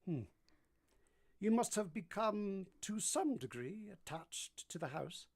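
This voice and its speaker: Brooding master of the house, played by an actor: commanding, teasing, ardent. Formal, theatrical delivery for a period radio romance. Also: male voice, high voice, animated, slow, darkish tone, heavily acted. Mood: probing, teasing. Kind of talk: vintage radio drama acting.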